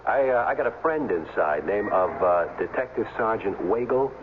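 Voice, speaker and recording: An actor playing an easygoing, wry ex-football player of thirty in a 1970s radio drama. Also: male voice, on the low side, lively intonation, normal speed, natural voice. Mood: wry, joking. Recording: tinny 1970s radio, faint noise.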